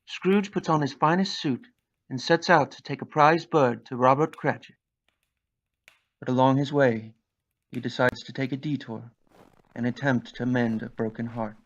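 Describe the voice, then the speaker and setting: A man's voice: mid-range voice, normal speed. A man giving scripted storybook narration for an audio play. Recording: dull lo-fi recording.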